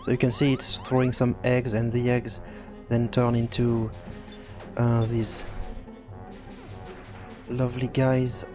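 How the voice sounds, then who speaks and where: Male game developer for a programming screencast: mid-pitched, casual, halting and repeating himself, faint music behind. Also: flat delivery. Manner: halting, thinking aloud with repeated words. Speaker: tutorial host and game developer.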